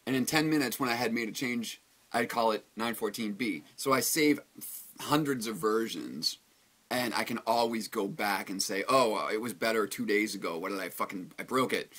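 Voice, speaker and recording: A man's voice: on the low side, moderate pace, fairly bright tone, husky. A singer-songwriter man talking casually in his recording studio, rambling with lots of fillers and the odd swear word.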